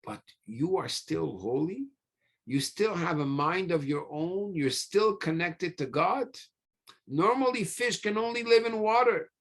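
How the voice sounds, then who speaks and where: Guy giving a rabbi's sermon, kinda high voice w/ lots of fry, asks questions then answers them. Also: lively intonation. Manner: emphatic, pausing often, repeats phrases and asks rhetorical questions. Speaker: rabbi giving a religious lecture. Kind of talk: sermon, teaching through scripture stories.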